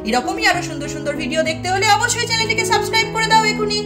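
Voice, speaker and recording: A woman's voice: very high voice, very fast, over loud music. A woman narrating a children's doll story as the channel's host.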